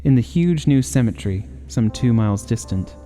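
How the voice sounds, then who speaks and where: Male audiobook narrator for gothic horror: mellow, measured, dramatic, long reverb over music. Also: medium pitch, expressive.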